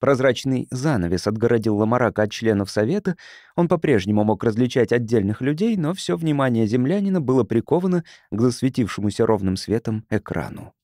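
A man's voice: mid-range voice, lively intonation, brisk, mellow, dry recording, studio quality. A man reading a science fiction audiobook as a scripted narrator.

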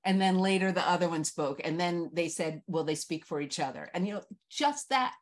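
A woman's voice: lowish voice, normal speed. A middle-aged woman talking casually as a panel host, recorded indoors over a video call. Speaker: festival host and panel moderator. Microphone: video call mic.

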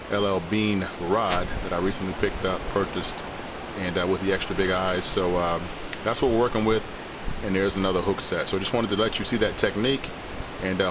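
A middle-aged man with a fairly low voice talks through a casual how-to video. He is easygoing and folksy, and a loud hiss and rumble runs behind him. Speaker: host of a fishing channel. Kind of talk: casual instructional vlog narration.

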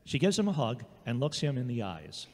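A man's voice: mid-range voice, very animated, fairly quick. A man reading scripted story narration as the narrator of an audio drama. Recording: long hollow reverb.

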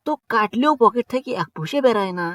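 A busy young cartoon friend, played by a man, who is suspicious of kindness. He speaks with a Bogura accent in a high voice. He fires off impatient questions. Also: animated, fast talker, heavy background noise. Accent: Bogura dialect of Bangla.

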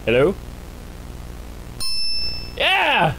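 Casting: young male gaming host, higher-pitched, swinging intonation, casual reactions, rumbling game noise behind. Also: wildly swooping pitch, drawn-out words. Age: young adult. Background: horror game rumble.

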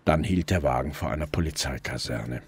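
A man's voice: a bass voice, a little fast, a little hiss or hum. An actor playing a sober, weary middle-aged police detective in a radio play, dry and restrained as he tells and acts his story. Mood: sober narrating. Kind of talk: first-person narration and radio play dialogue.

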